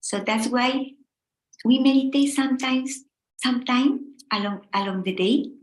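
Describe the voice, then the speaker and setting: Slow-speaking Spanish-accented woman teaching meditation. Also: high voice, reverberant, rough recording. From Spain. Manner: gentle and unhurried, with pauses between short phrases. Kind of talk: guided meditation talk.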